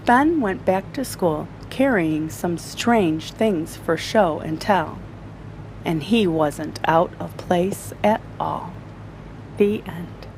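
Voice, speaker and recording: A woman reading a children's story aloud in an animated, deliberate storytelling style. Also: fairly low pitch, a little hiss or hum, rough recording.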